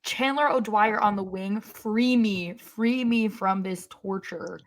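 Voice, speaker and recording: A woman's voice: mid-range voice, slow. A woman co-hosting a soccer fan podcast in casual conversation. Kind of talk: casual sports chat.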